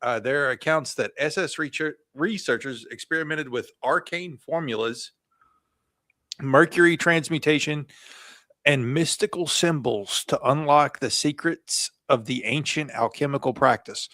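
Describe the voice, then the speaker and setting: A man's voice: fairly high pitch, unhurried, pushed and bright, dry recording. A man co-hosting a podcast, reading from his notes in a casual, jokey way and adding asides. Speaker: podcast co-host.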